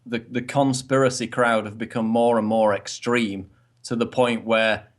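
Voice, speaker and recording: A man from northern England with a toned-down northern English accent, giving measured, explanatory interview answers with a wry edge. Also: medium pitch, little pitch movement. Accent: softened northern English. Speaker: guest commentator.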